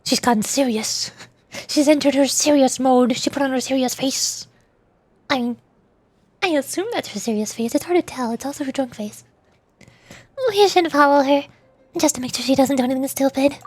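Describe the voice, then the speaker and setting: A woman's voice: high voice, moderate pace, a little mellow, breathy edge, dry recording. A young woman streaming a game, chatting playfully with frequent giggles as she comments. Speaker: VTuber streamer.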